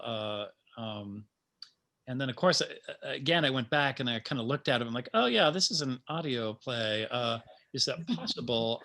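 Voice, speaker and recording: A man talking informally as a playwright about his work, in a halting, self-amused way full of trailing asides. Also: mid-range voice, bright, forceful tone. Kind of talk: informal panel conversation.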